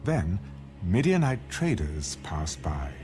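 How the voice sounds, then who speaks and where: A solemn narrator of an ancient biblical tale for a dramatized audio Bible. The voice sits on the low side and moves expressively, with music clearly underneath. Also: male voice, sing-song, takes it slowly, exaggerated voice. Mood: solemn storytelling.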